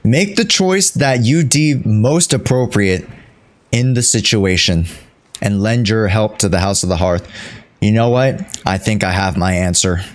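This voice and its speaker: A man's voice: lowish voice, unhurried. A young man giving casual live gameplay commentary as a streamer, jokey, theatrical and slangy. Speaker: game streamer.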